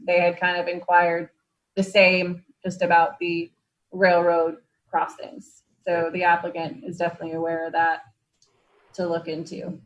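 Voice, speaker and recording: A woman's voice: fairly low pitch, a bit bright, dull audio. A woman on staff at a public meeting, clarifying procedure in a matter-of-fact official way. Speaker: zoning board staff member.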